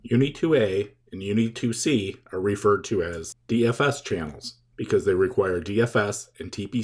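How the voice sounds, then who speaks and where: Male tutorial presenter, slightly deep voice, reading scripted how-to material in a measured, methodical manner. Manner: measured, step-by-step explaining. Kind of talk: scripted instructional narration.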